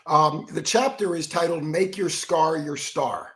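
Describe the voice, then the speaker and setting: A man with a froggy, rough voice telling stories as an energetic interview guest, acting out the quotes. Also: fairly high pitch, unhurried. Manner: energetic storyteller who acts out quotes. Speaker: guest, keynote speaker and author. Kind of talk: animated interview talk.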